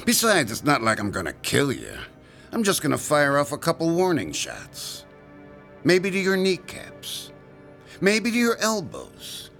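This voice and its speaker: Sarcastic street thug, highish creaky voice, swooping pitch, mocking threats, radio drama. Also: male voice, huge pitch swings, measured pace, a bit bright, faint music behind, heavily acted. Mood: taunting menace. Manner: taunting, mocking, drawling threats. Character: sneering, sarcastic male thug extorting money.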